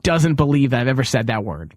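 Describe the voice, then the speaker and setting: A man hosting a show with casual comedy banter, telling stories in an animated way. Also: medium pitch, fast talker, no room sound, studio quality. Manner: animated storytelling, acting out quoted exchanges.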